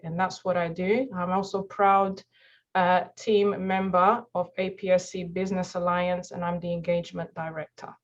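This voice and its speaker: Upbeat woman coach on a video call. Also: fairly low pitch. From Mozambique. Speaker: empowerment coach and meeting host. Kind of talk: motivational presentation on a video call. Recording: slightly dull video-call audio.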